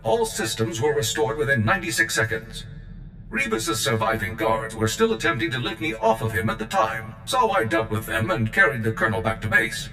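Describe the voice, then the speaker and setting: A man plays a loyal combat droid with a low voice, proud and zealous. Every word is formal and precise, like a military report in a video game. The sound is echoey, with music behind it. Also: completely flat delivery, fairly quick, close to an everyday voice. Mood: matter-of-fact, reporting. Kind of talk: scripted video game acting. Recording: game cutscene with echo and music.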